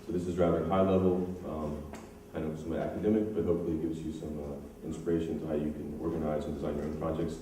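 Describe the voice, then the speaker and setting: American male tech speaker, deep mellow voice, relaxed and chatty, recorded from afar in a big echoey hall. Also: fast talker. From United States. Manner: relaxed, chatty, frequent ums and uhs. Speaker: conference speaker. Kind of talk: technical conference talk. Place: large conference hall. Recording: echoey hall, distant mic, faint noise.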